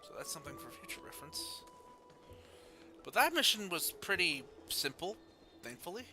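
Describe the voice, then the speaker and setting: A man's voice: high-pitched, sing-song, moderate pace, pushed and bright, faint music behind. A man hosting a casual game livestream, chatting and reading story text aloud. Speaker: video game streamer.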